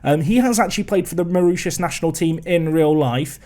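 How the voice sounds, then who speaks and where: A man's voice: on the high side, brisk, warm tone. A young man casually commenting on a football management game, chatty and self-mocking. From England. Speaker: gaming video host.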